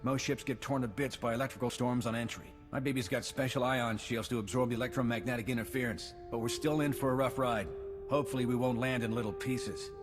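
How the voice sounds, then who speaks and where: Actor as a plucky male pilot, mid-pitched, folksy, clipped, earnest, game scene, music behind. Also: steady tone, fast, natural voice. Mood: worried, plucky. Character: earnest, awed working shuttle pilot, worried but plucky. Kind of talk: scripted video game acting.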